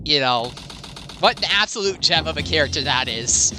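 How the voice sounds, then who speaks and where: A man's voice: a little high, animated, moderate pace. A man giving casual, rambling commentary while streaming a game, joking about himself and laughing often. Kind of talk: casual live gameplay commentary. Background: video game music.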